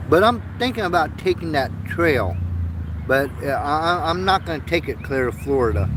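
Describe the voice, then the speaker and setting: A man's voice: on the high side, noisy background. A man in his fifties, born in Vietnam and raised in the United States, talking earnestly in a rambling livestream, often stopping to repeat himself. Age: 50s. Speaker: livestream host. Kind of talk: rambling casual livestream monologue.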